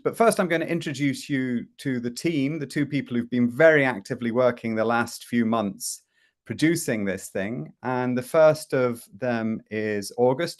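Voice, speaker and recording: A middle-aged man hosting a live product demo from home over a video call, talking casually with many fillers and restarts. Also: mid-range voice. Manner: chatty, with frequent fillers and restarts. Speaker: host presenting a product demo. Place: home room.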